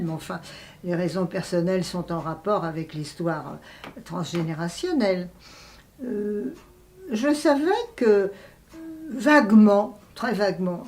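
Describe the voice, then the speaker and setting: An elderly woman, speaking reflectively in an interview, often pausing to search for words. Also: mid-range voice, a little mellow. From France. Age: 80s. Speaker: interviewee, writer and translator.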